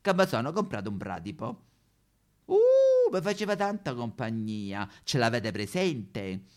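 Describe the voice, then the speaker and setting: A man's voice: mid-range voice, huge pitch swings, unhurried, darkish tone. Man playing histrionic lonely Roman woman, wildly swooping. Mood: dramatic storytelling. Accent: Roman Italian. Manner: histrionic, repetitive, swinging between whispers and wailing. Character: lonely, melodramatic woman recounting absurd pet disasters. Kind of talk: theatrical comic monologue.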